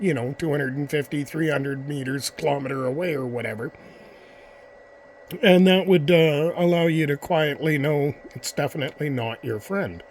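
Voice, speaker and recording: A man's voice: fairly high pitch, slight background noise. Rambling man showing off radios. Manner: rambling, lots of filler "uh". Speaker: ham radio hobbyist vlogger. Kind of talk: casual show-and-tell talk.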